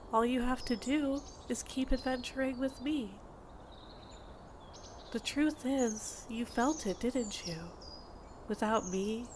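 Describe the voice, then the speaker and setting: A woman playing a possessive, condescending fantasy healer in an ASMR audio roleplay, with a measured, intimate, teasing and reproachful delivery. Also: mid-range voice, slight background noise, lightly acted. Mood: coaxing, possessive. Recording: insect and bird ambience behind voice. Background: outdoor nature ambience with crickets and birds.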